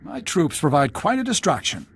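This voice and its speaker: A man's voice: on the high side, huge pitch swings, moderate pace, a bit bright, slight background noise, full character voice. An actor playing a bold, eager male army colonel in a game cutscene. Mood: confident. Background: game music.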